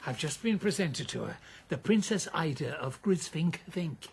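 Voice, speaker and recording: Roguish old Irish schemer of a radio play. The voice is gravelly and rough-edged, rising and falling freely. He coaxes and wheedles with wry relish. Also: on the high side, animated, normal speed, heavily acted. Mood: sly, confiding. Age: older. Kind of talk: radio drama acting.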